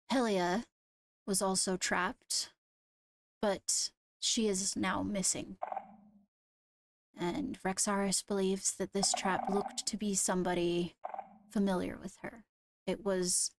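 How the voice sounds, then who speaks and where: A woman playing a composed court leader in a Minecraft roleplay, speaking formally and haltingly as she gives a briefing. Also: mid-range voice, dry recording. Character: measured female court leader briefing on attacks. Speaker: roleplayer leading a court meeting.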